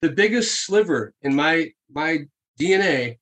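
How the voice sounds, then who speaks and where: A man's voice: fairly high pitch, animated, unhurried, fairly bright tone. A man chatting casually on a podcast, telling stories with frequent laughs and swearing. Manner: storytelling, swears freely, laughs often.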